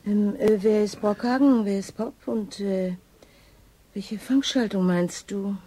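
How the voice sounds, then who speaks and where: An actress playing a distracted, lovestruck police inspector in a naturalistic radio drama, with a hesitant, dry delivery. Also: female voice, mid-range voice, measured pace, dark tone, close to an everyday voice, low-quality recording. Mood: distracted, wry.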